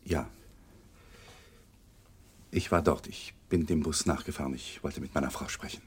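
Shaken widower, low register, slightly rough tone, guarded short answers, crime radio play. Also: male voice, normal speed. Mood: subdued confession. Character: shaken, evasive widowed man under police questioning.

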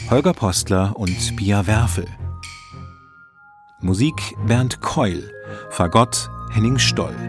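A man announcing the credits of a radio play in a scripted read. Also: fairly low pitch. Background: prominent music.

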